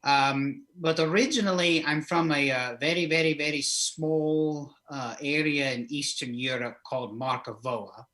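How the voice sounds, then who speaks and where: A man's voice: fairly high pitch, a little slow, few pauses, bright, forceful tone. A man playing a flamboyant old vampire count from Eastern Europe as a comic horror host, rambling hesitantly through an interview in character. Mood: matter-of-fact, rambling.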